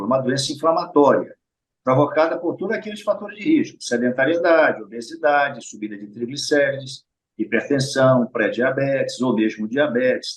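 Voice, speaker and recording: A middle-aged Brazilian man speaks as a doctor being interviewed, at a medium pitch and in a steady, explanatory manner. He keeps checking in with short tag questions and talks straight to the listeners. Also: poor audio. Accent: Brazilian Portuguese. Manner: explains patiently, often adds tag questions and addresses listeners directly. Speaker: heart surgeon guest. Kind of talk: explanatory conversational interview talk.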